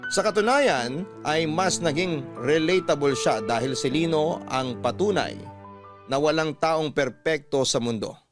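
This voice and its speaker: A Filipino man narrates a radio drama story in a mid-pitched voice. He reads earnestly and deliberately, pausing for drama, in a dry studio sound. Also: no room sound. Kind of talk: scripted first-person story narration.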